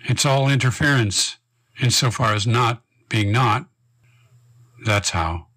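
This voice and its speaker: A man's voice: mid-range voice, takes it slowly, fairly bright tone, husky. Reflective man reading his poetry. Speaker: poet. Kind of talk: reading and discussing his poem.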